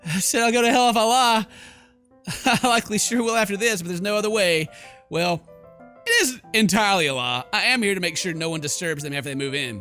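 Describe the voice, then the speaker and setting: A man's voice: high-pitched, brisk, sharp tone, faint music behind. A man doing jokey, bro-ish game commentary and expressively reading story text aloud as a let's player.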